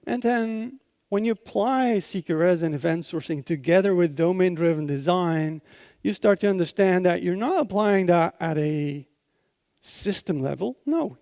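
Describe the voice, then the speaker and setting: A man's voice: high voice, moderate pace, vocal fry, darkish tone, no room sound, clean studio sound. A man from Belgium with a Belgian accent gives a technical conference talk, explaining things informally and often checking in with his listeners. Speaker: conference speaker, software consultant.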